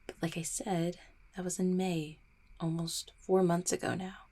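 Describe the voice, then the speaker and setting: A woman's voice: on the low side, level tone, mellow. A woman acting a guarded, heartbroken small-town mail carrier in an audio roleplay, stammering and blaming herself. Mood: defensive, guarded. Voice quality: husky, creaky. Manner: halting, stammering, self-deprecating. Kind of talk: roleplay audio drama, intimate one-sided conversation.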